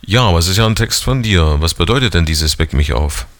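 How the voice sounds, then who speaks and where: A man's voice: low voice, expressive, fairly quick, a bit bright, no room sound. A man in his forties hosting a casual radio interview, asking chatty, teasing questions. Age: 40s. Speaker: radio host.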